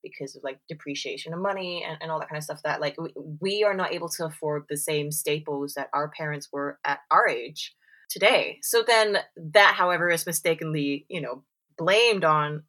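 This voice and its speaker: A woman in casual conversation, talking in an animated way with lots of fillers and laughter. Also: low-pitched, normal speed. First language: English. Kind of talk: casual chat.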